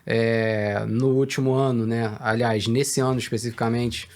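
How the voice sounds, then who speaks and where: A Brazilian man, a research director, answering questions in a business interview in a measured, hesitant way with many fillers. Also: mid-range voice, level tone, darkish tone.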